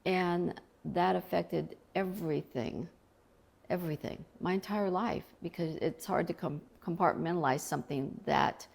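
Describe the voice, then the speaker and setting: A woman's voice: lowish voice, measured pace, vocal fry, darkish tone. A middle-aged woman, an author from Colombia, talking in an earnest, reflective way about faith in an interview.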